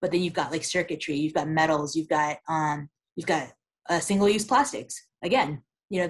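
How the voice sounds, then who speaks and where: A woman with a low voice speaks as a guest expert on a podcast. Her delivery stays even, with little rise and fall, and she explains steadily with a few fillers. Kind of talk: casual podcast conversation.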